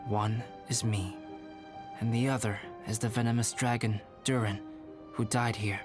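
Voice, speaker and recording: A man voicing a calm, reserved young alchemist in a video game, speaking in a measured, formal, contemplative way. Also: fairly low pitch, natural voice. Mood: reflective. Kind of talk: scripted video game character acting. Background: music.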